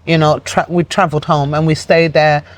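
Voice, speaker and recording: A woman's voice: low voice, little pitch movement, moderate pace. A woman from Nigeria, a guest in an interview, talking earnestly and plainly with frequent fillers. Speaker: interview guest, community project founder. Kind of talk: conversational interview.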